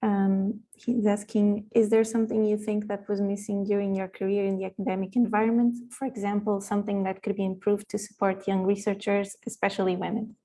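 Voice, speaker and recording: A woman's voice: medium pitch, flat delivery, brisk, hardly any pauses, warm tone. A woman moderating a seminar, reading out a question from the chat.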